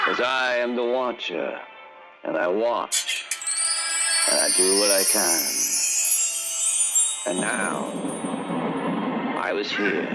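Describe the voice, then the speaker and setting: A man's voice: lowish voice, rough recording. A man playing a solemn, mysterious watcher in a fantasy radio play, speaking in a portentous, dramatic way.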